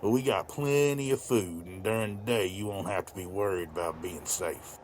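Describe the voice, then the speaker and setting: A man playing a weary, plainspoken older widowed farmer in a motion comic, talking in a measured way. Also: sits low, a little mellow, a little hiss or hum, natural voice. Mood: calm, hospitable.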